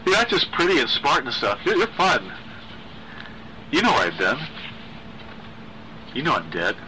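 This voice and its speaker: A timid, unhinged teenage boy from a horror audio story, played by a man. He stammers and repeats words in a tense, bright voice that climbs high. There is faint noise on the recording. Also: wildly swooping pitch, unhurried, heavily acted, poor audio. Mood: giddy, teasing. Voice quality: high-pitched, stuttering.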